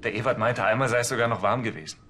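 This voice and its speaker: An actor playing a rattled, defensive male suspect under police questioning in a TV crime comedy, with a rough voice. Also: mid-range voice, very fast, fairly bright tone, slight background noise, close to an everyday voice. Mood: unsure. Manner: defensive, protesting. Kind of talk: TV comedy crime series acting.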